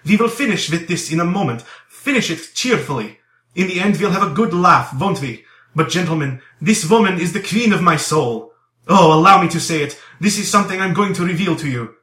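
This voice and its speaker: An impassioned young man under arrest, acted out by a male narrator, pleads and exclaims in a bright, forceful voice. His pitch leaps around with frantic effusiveness, repeating words as he goes, in a roomy, echoing recording of a storybook. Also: expressive, a little fast, distant mic. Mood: ecstatic, pleading. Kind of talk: dramatized audiobook character dialogue. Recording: roomy echo.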